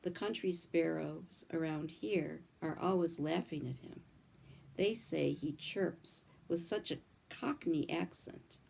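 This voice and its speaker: A woman voicing a kindly old male country doctor, chatting calmly in a read-aloud storybook. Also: low voice, slow, vocal fry, slight background noise, heavily acted. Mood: fond.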